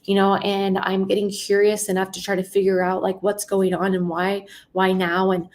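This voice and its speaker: A woman teaching sales with a soft, empathetic manner. She often ends her points by checking in with the listener. Her voice sits at a middle pitch. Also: monotone, normal speed. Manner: soft-spoken, empathetic, frequent "right?" tags. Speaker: sales coach. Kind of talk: teaching with role-play demos.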